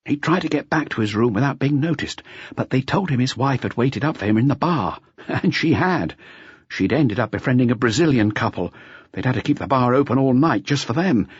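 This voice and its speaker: A man voicing an eager, chatty junior police detective who briskly confides his report to the chief. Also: mid-range voice, expressive, husky, no echo, dull audio, pitched above the performer's own voice.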